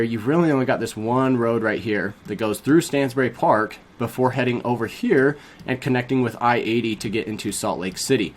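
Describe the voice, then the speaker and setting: Young male vlogger, brisk and friendly, slightly congested, recorded in a small home studio. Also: mid-range voice. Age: young adult. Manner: upbeat, fast-talking, addresses viewers as guys. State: a bit sniffly from a cold. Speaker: real estate agent. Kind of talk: casual explainer vlog.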